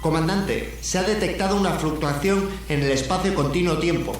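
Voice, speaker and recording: A woman's voice: fairly low pitch, normal speed, very noisy, natural voice. A woman playing a ship's lieutenant giving clipped reports in an amateur radio drama. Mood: dutiful. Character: dutiful female lieutenant on a spaceship. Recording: distant, very echoey drama with loud music.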